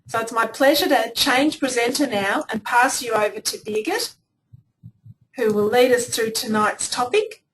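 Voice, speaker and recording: A woman's voice: fairly high pitch, expressive, normal speed. A woman hosting an online webinar, introducing the speaker and running a poll in a friendly, orderly way. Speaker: webinar host. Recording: distant mic with faint noise.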